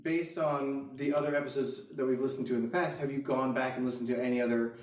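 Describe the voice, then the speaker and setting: A man's voice: mid-range voice, fairly even intonation, fast talker. A man co-hosting a casual comedy podcast, chiming in with quips. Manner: quipping interjections. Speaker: podcast co-host. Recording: echoey room, distant from mic.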